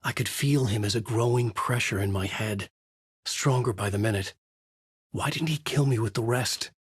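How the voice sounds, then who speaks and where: Husky, brooding novelist narrator. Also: male voice, fairly low pitch, dry recording, clean studio sound. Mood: brooding, uneasy. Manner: measured, grave, dramatic delivery. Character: brooding, haunted male novelist narrating his dark thriller. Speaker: voice actor. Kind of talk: scripted noir horror narration.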